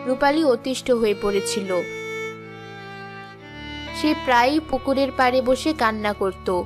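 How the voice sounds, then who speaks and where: A woman's voice: fairly high pitch, unhurried. A woman narrating a children's story in a scripted storytelling style. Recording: music behind the voice.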